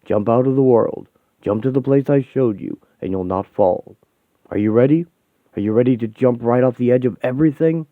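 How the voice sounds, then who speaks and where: A man playing a cryptic old mentor in a story, solemn and coaxing, with grand, measured phrasing. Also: medium pitch, dry recording, natural voice. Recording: thin phone-like sound.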